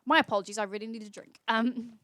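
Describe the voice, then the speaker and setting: A woman's voice: a little high, steady tone, quick pace, a bit bright, no room sound, studio quality. A woman hosting a livestream, chatting casually and reading aloud informally, with small stumbles and self-corrections. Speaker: livestream host.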